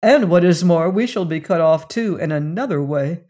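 A brisk, practical adventurer, voiced by a man reading a storybook aloud, gives orders in a high, quick voice. He sounds commanding and sure of himself. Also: animated, darkish tone. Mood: urgent.

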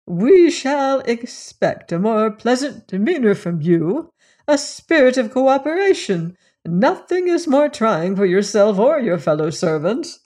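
A woman's voice: mid-range voice, very animated, a little mellow, exaggerated voice. Woman acting an imperious elderly society lady. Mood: condescending. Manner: imperious, disapproving, deliberate.

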